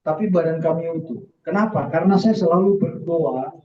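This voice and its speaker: A man gives an emphatic church testimony in short, halting phrases, speaking as a guest before a congregation. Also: a little high. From southern Philippines, mixed Indonesian family. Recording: distant, roomy, dull lo-fi sound.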